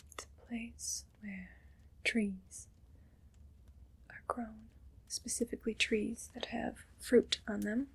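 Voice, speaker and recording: A woman playing a haughty, condescending evil queen in a whispered ASMR roleplay, breathy and deliberate. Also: fairly high pitch, fairly bright tone, exaggerated voice. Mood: wistful, languid. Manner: slow, deliberate, imperious and condescending.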